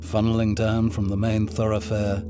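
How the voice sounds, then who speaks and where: Low male narrator over music. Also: completely flat delivery, normal speed. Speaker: reader of novel extracts. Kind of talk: dramatic literary narration.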